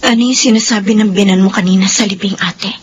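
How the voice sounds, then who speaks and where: A woman's voice: medium pitch, fairly even intonation, fast talker, lo-fi sound, close to an everyday voice. An actress in a radio drama playing a younger sister-in-law who questions her brother's widow. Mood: suspicious. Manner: questioning, probing tone. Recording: radio drama with faint background noise.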